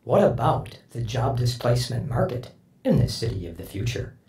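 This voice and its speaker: Male clip voice with slight upward pitch-shift effect, mellow and creaky, roomy sound. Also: very animated, normal speed. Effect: pitch shifted up about a whole tone.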